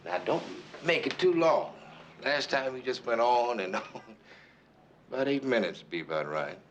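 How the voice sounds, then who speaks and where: An actor playing a gentle, encouraging preacher in a screen drama, talking in a coaxing, affectionate way. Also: male voice, medium pitch, animated, normal speed, highs cut off, slight background noise, lightly acted. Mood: teasing, friendly. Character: gentle, encouraging preacher man reassuring his brother. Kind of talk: naturalistic screen drama dialogue.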